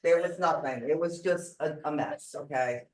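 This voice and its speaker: A woman's voice: deep voice, moderate pace. A woman in her sixties introduces herself casually to a group. She is blunt and emphatic, circling back to hammer a point. The room is echoey and the microphone sits at some distance. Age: older, 60s. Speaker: real estate agent. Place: indoor group meeting room.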